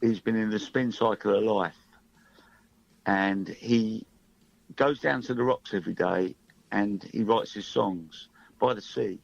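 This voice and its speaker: A man's voice: fairly low pitch, unhurried, gravelly, pauses often, dull audio. A middle-aged man, a singer-songwriter guest, chatting casually in a radio studio interview.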